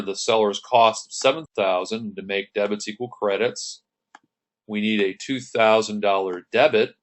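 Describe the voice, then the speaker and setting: A man with a lowish voice gives an accounting lecture over slides. He works through each entry methodically, one step at a time. Now and then he stops to clear his throat. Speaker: accounting instructor.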